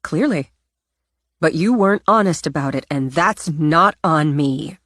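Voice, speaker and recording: Woman doing a sharp, defiant young woman. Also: deep voice, expressive, unhurried, no echo, clean studio sound, heavily acted. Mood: cutting. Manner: sharp, sarcastic. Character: young woman, cutting, defiant, proud sister.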